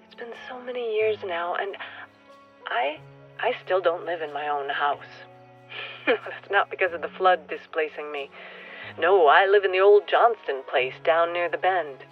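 An actress as a musing newcomer, slightly muffled sound. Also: female voice, lowish voice, measured pace, faint music behind, lightly acted. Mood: searching, frustrated. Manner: questioning, musing. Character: reflective newcomer woman, uncertain of belonging, earnest. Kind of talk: radio play monologue.

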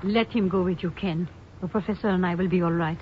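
A woman's voice: lowish voice, fairly even intonation, a little fast, a little hiss or hum, lightly acted. An actress playing a composed young female spy in a 1950s radio drama. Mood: composed, concerned. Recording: muffled old radio recording, music behind.

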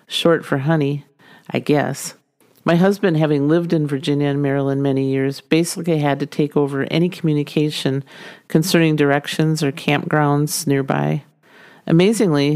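A middle-aged woman with a light Minnesota accent hosts her podcast, telling a personal story in a gentle, wry, homey way. Her voice sits low and mellow. The recording is dry and close. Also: no room sound. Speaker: podcast host. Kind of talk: scripted storytelling narration.

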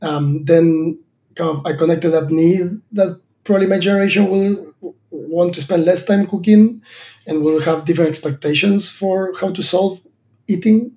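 A man's voice: high voice, fairly even intonation, moderate pace, mellow. A man in his thirties from Argentina, a startup founder answering interview questions thoughtfully, with many fillers and restarts. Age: 30s.

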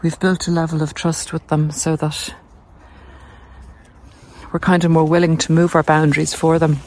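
A woman with an Irish accent giving a casual, explanatory monologue in a measured, halting way while out on a walk. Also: low voice, flat delivery, a little mellow. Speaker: podcast host and narcissism recovery coach. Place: outdoors on a coastal cliff walk. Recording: noisy outdoor handheld recording.

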